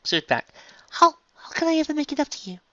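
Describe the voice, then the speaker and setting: Guy voicing a soft high refined unicorn mare, gentle and halting, lively pitch. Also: dry recording, lo-fi sound, heavily acted. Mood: moved.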